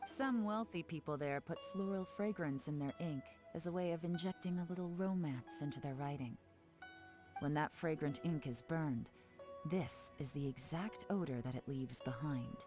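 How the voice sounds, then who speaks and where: Actress playing a cool, low-voiced spymaster. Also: female voice, natural voice. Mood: assured. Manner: calm, measured, knowing. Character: cool, composed woman intelligence agent. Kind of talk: game dialogue. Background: game music.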